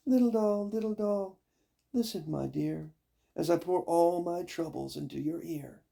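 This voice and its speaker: A sweet, sad young girl from a storybook , acted out by an older man, pleading in a gentle singsong. The voice is lifted high, with a husky edge. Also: measured pace. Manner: gentle, singsong rhyming plea.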